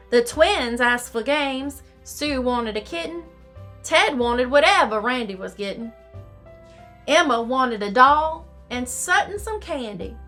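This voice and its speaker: A woman telling stories to children in an expressive, theatrical, deliberate way. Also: high-pitched. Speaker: storyteller reading to children. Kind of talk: expressive storybook reading in rhyme. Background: music.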